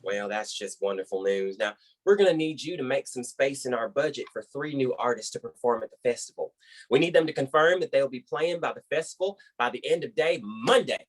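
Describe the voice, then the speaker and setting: A man's voice: mid-range voice, natural voice. A man acts out a folksy American boss leading a team call in a comedy web series. He rambles in a mid-range voice, effusive and upbeat, heaping praise as he hands out orders.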